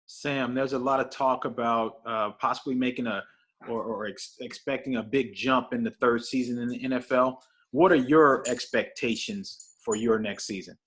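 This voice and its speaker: A man's voice: medium pitch, moderate pace, fairly bright tone. A man asking a question as a sports reporter at a press conference.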